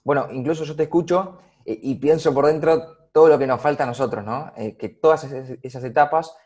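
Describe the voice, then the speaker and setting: A man with an Argentine Spanish accent interviewing a guest, framing his questions in a measured way and often repeating words. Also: on the high side, a little mellow, dull audio. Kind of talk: casual interview.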